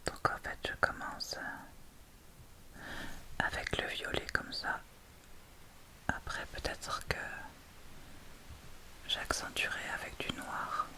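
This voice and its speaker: A woman hosts an ASMR makeup tutorial entirely in a whisper. She speaks very slowly and a little hesitantly, feeling her way from one step to the next. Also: sharp tone.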